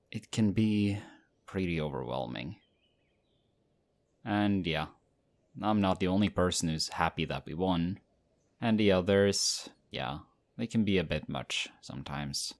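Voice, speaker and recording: A man voicing a friendly, modest young male team captain in an audio roleplay, talking in a relaxed, chatty way. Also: low voice, measured pace, long pauses. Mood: excited, friendly.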